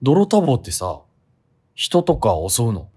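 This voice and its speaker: A man's voice: mid-range voice, very animated, measured pace, heavy background noise. An actor playing a naive, doubtful young man questioning his friend casually in a comic radio drama. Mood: curious.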